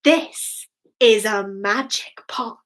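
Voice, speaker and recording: A woman voicing a gentle, mysterious magical lady in a children's story, solemnly giving instructions. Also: medium pitch, slow pace, voice pushed down. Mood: instructing, mysterious.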